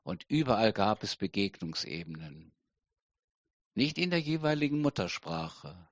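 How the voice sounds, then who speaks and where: A middle-aged man preaching a sermon slowly and deliberately, with emphatic pauses. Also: fairly low pitch, lively intonation, dry recording, dull audio. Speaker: pastor.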